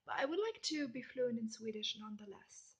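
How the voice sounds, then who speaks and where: A young woman from Germany with a German accent, chatting casually through a list of questions and often dropping into soft whispered asides. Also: a little high, animated, brisk, husky. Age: young adult. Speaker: vlogger. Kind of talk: casual question-and-answer tag video.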